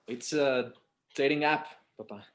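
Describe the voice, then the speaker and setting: A man playing a patient, slightly exasperated adult son in a screenplay table read, casual and halting, with bits of Spanish. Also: medium pitch, natural voice. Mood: explaining.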